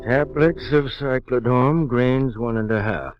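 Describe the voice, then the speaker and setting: Actor as a matter-of-fact druggist, muffled old recording. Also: male voice, mid-range voice, measured pace, over loud music, close to an everyday voice. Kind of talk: 1940s radio drama acting. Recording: dull, dry 1940s radio recording.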